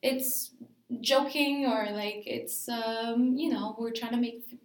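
A woman's voice: a little high, steady tone, slow, echoey room. A woman from Greece, a dancer being interviewed, talking casually and thinking aloud with many fillers.

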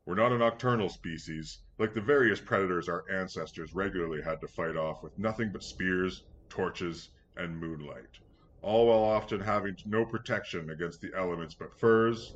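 Low-pitched man narrating, dull lo-fi recording. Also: a bit bright. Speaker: story reader. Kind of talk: scripted first-person story narration. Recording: dull lo-fi sound, clear background noise.

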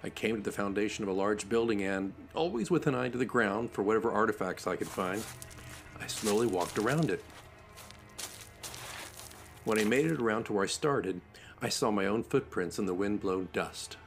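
A man reading a scripted spooky story as host, with a measured, dramatic delivery. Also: lowish voice, gravelly. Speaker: host reading listeners' stories. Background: music.

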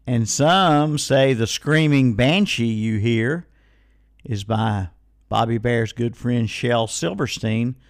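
A man's voice: medium pitch, dry recording, studio quality. A man hosting a live radio call-in show in a casual, folksy, rambling way, playfully repeating himself. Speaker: radio host of a call-in swap shop and music show. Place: radio studio. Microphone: close studio microphone.

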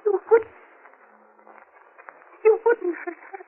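A woman playing an anxious but quick-witted housewife held hostage in an old radio drama, earnest and dramatic. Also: very high voice, steady tone. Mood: pleading, frightened. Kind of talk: 1940s radio drama acting. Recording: tinny old radio recording with hiss.

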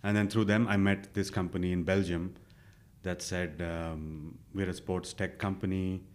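Young male guest from India, deep voice, easygoing storyteller. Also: measured pace. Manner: relaxed, with many fillers and self-quotes. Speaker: interview guest. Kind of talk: casual conversational storytelling.

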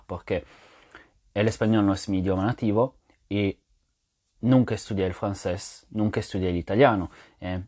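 A man whose first language is French talks through a language point in a low voice. He explains patiently and thinks aloud, often pausing on filler sounds and restarting words. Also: darkish tone. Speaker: language teacher podcaster. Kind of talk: casual spoken lesson to listeners.

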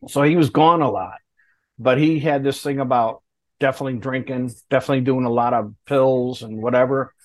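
A man's voice: mid-range voice, a little fast, no room sound. A man in his late fifties, a guest talking candidly and plainly in a casual interview. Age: 50s. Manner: candid, plainspoken, laughs between hard stories.

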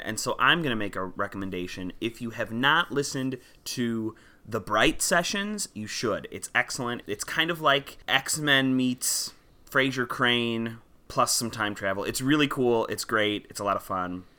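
A man's voice: medium pitch, animated, moderate pace, pushed and bright. A man co-hosting a film podcast, chatting casually and playfully. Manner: playful, breaks into goofy old-timey voices. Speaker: podcast co-host.

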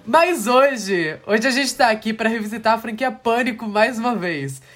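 A man's voice: very high voice, lively intonation, crowd noise. A Brazilian man hosting a queer horror film podcast, joking and sarcastic in casual chat. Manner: sarcastic, joking, quick asides. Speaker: podcast host.

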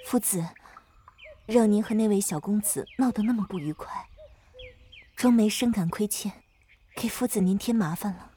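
A woman's voice: medium pitch, normal speed, pauses often, warm tone, a little hiss or hum, natural voice. A woman playing a meek, apologetic expectant wife in an ancient-China audio drama. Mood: apologetic, grateful.

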